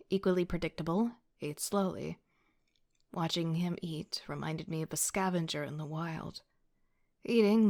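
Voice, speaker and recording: A woman acting as an exasperated young female student telling her own story in an audio drama. Her voice sits a little low, and her delivery is sardonic and self-mocking. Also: lightly acted. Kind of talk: first-person audio drama narration with outbursts.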